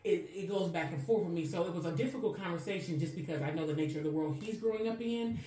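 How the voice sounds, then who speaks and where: A woman's voice: on the low side, fast talker, mellow. A woman co-hosting a podcast, talking earnestly and reflectively in long winding sentences. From Chicago. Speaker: podcast co-host. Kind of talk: casual podcast conversation. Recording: roomy and distant from the mic, faint noise.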